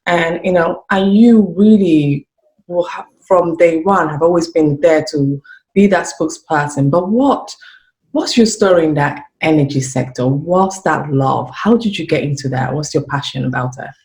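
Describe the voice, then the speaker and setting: A woman's voice: sits low, normal speed, darkish tone. A woman hosting an interview who asks her questions in a polite, deferential way and offers many small affirmations. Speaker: interview host.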